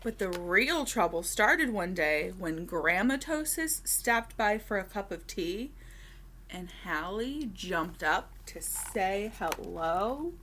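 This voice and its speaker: A woman reading a children's storybook aloud expressively, with deliberate dramatic pauses and emphasis. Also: mid-range voice, expressive, bright, forceful tone, slight background noise.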